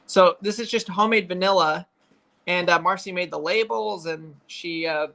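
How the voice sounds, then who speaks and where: A man's voice: sits high, moderate pace, vocal fry, bright, forceful tone. A man in his twenties chatting casually and informally on a family livestream, rambling a little as host. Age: 20s.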